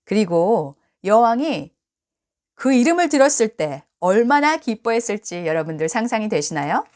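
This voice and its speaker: A woman, a native Korean speaker, reading a children's story aloud bilingually in an expressive, deliberate storytelling manner. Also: mid-range voice. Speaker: storybook reader and translator.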